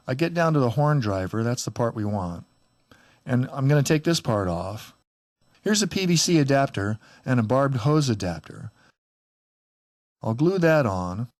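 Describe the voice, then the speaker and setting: A man's voice: medium pitch, dry recording, clean studio sound. A man presenting a casual DIY tutorial in a plain-spoken, lightly wry way. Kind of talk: casual how-to narration.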